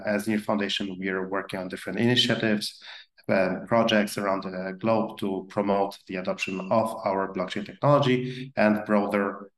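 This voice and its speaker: A man with a Polish accent, a guest answering interview questions in a brisk, earnest way with occasional fillers. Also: lowish voice. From Poland. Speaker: guest, blockchain executive. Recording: roomy echo.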